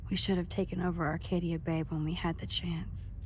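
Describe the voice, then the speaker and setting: An actress playing a tender, earnest young American woman in a video game, a devoted, anxious friend who speaks softly and hesitantly. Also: on the low side, level tone, fairly quick, a little hiss or hum, close to an everyday voice. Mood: fond, wistful. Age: young adult. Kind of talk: naturalistic video game cutscene acting.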